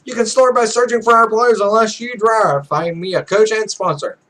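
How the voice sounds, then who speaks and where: A woman's voice: on the high side, steady tone, normal speed, a bit bright, lightly acted. A man voicing a blunt, demanding young female pro gamer with a curt, commanding manner in a visual novel.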